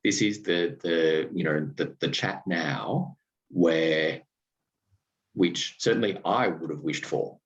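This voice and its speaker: Deep-voiced Australian man, halting host. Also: dull audio. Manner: halting, many fillers and restarts, laughs easily. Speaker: podcast host. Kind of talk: casual interview chat.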